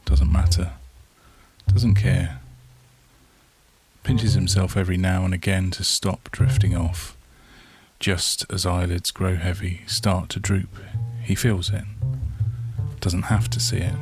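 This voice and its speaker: A man reading a story as scripted narration, in measured short phrases with dramatic pauses. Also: low-pitched, level tone. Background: soft plucked guitar soundtrack.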